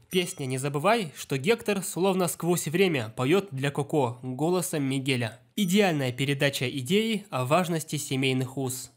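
A man narrating a film review, enthusiastic and analytical, on a voice-over mic. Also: on the high side. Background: film music.

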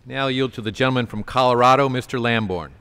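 A man with an ordinary mid-pitched voice presides over a large chamber. He makes short, formal parliamentary announcements, handing the floor to each speaker in turn. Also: fairly even intonation, quick pace, fairly bright tone, clean tone. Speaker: presiding member calling on speakers.

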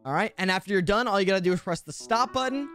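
A man's voice: high voice, expressive, very fast, a bit bright. A young adult man, an upbeat, chatty YouTuber presenting a casual tutorial into a close desk microphone. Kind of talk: scripted-casual tutorial vlog. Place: bedroom. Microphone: close boom-arm desk microphone. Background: background music.

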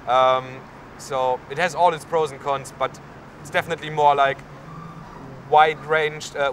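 A man's voice: on the high side, a bit bright. A young man with a German accent, a university student answering interview questions outdoors in a town square, with many fillers. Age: young adult. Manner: lots of fillers, gestures while talking. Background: faint street and traffic noise.

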